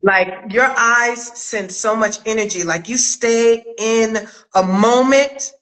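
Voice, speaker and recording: A woman hosting a casual interview chat, talking in an expressive, emphatic way. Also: a little high, takes it slowly, rough recording. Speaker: host, acting coach and writer. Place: remote video call.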